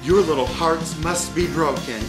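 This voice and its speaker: A man's voice: a little high, a little slow, echoey room, over music, lightly acted. A man voicing a kindly, gullible old woman in a storybook, with a gushing, exclamatory manner. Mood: sorrowful. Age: older.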